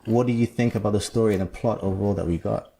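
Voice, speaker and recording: A man hosts a casual podcast chat. His voice sits low, and he explains things in long, animated runs. He is from Zambia. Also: quick pace, vocal fry, slight background noise. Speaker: podcast host.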